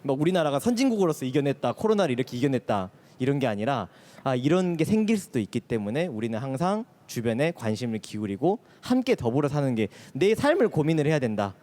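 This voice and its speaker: A male teacher speaks at a live talk concert in a Gwangju regional accent. His pitch sits in the middle, and he talks in an earnest, measured way. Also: very animated, dark tone. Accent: Gwangju regional dialect. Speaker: schoolteacher guest. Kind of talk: stage talk. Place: stage before a live audience.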